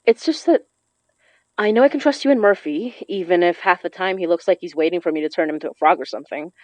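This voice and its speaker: An actress playing a young woman who works magic, tired, wry and protective, with a brisk, matter-of-fact delivery in a naturalistic audio drama. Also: fairly low pitch, darkish tone, no echo, dull audio, close to an everyday voice. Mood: confiding.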